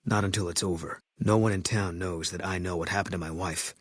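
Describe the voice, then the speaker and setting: An actor voicing a grim, bitter former army sniper in a video game, guarded and grieving, with terse, flat, brooding delivery. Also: male voice, low voice, brisk, husky, no room sound, clean studio sound. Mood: guarded, weary.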